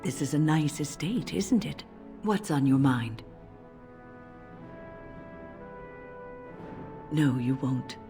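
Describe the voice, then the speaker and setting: Fantasy game mentor: older female mage, deep voice, measured, reflective, gentle but firm. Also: lively intonation, music in the background. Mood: gently probing. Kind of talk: dramatic game dialogue.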